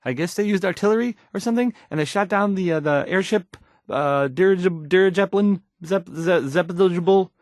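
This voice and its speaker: A man's voice: high-pitched, darkish tone, dry recording, studio quality, close to an everyday voice. Man playing a stammering, nervous young radio DJ. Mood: nervous, fumbling. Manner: halting, stammering, hesitant, trailing off. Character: nervous, awkward, unsure young male radio DJ. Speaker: radio news announcer. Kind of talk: bumbling radio news report in a video game.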